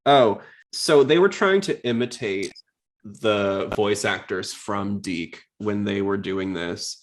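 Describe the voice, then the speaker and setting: A man with a fairly low voice talks as a podcast host. He chats casually, commenting on a show, and tends to ramble and break off to restart his thoughts. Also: takes it slowly. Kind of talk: casual chat, episode commentary.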